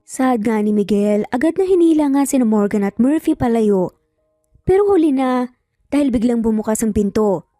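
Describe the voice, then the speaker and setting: A woman's voice: a little high, lively intonation, moderate pace, mellow, no echo, clean studio sound, faint music behind. A woman reading a scripted story dramatically as a storyteller.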